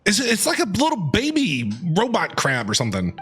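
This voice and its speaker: A woman's voice: medium pitch, huge pitch swings, moderate pace. A woman streamer chatting playfully and reacting casually while she plays a video game. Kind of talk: casual reactions over gameplay. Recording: game music behind the voice.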